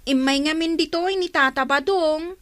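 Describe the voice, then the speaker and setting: A woman's voice: high-pitched, normal speed, fairly bright tone, dry recording. An actress with an Ilocano accent playing an inquisitive, polite young village woman in a radio drama. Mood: curious.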